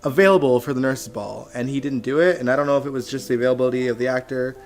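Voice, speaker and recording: A man hosting a casual live stream, chatty and animated, laughing often, with a slightly hoarse voice. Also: mid-range voice, racing. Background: music playing faintly.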